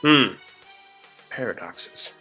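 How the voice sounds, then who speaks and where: A man improvising in-character tabletop game dialogue in a measured, halting, formal manner. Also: medium pitch, expressive, pushed and bright. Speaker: tabletop role-playing game player. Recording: music behind the voice.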